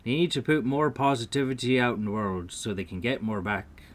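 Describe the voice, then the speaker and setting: A man's voice: mid-range voice, fairly even intonation, fairly quick, pitched above the performer's own voice, lightly acted. A man voicing a polite, merry little leprechaun in a storybook tale, with courteous, exclamatory speech. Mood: earnest.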